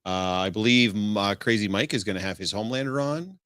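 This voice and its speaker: A man's voice: lowish voice, fast talker, fairly bright tone, dry recording, studio quality. A man co-hosting a podcast, chatting casually, rambling with many restarts and laughs. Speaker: podcast co-host. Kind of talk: casual chat.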